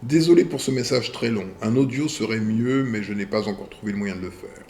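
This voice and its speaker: A man hosting a video channel. He reads viewer messages aloud and answers them in a deliberate way, in short phrases with pauses. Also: fairly low pitch, vocal fry, darkish tone, a little hiss or hum.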